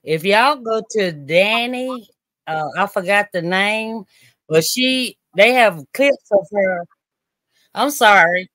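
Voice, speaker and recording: A caller phones in to a chat show, speaking slowly and haltingly. The sound is dry and close. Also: mid-range voice, animated, bright, forceful tone, dry recording. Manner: halting, restarts often. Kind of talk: casual call-in chat.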